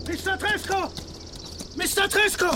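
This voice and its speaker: A man's voice: extremely high pitch, steady tone, a little slow. An actor playing a desperate, pleading swindler who poses as a father, in a German radio play. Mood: anxious warning. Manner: agitated, pleading.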